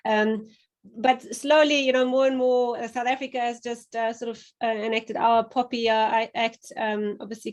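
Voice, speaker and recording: German lady on a panel, bit high voice, lots of ums and restarts. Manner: thoughtful, many fillers and restarts. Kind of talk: panel discussion.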